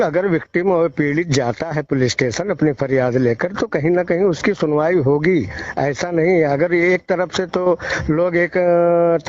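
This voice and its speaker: A male field reporter giving emphatic, moralizing opinions in a news phone interview, often repeating phrases. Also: a little mellow. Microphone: phone call. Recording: muffled, echo-free low-fidelity phone call recording.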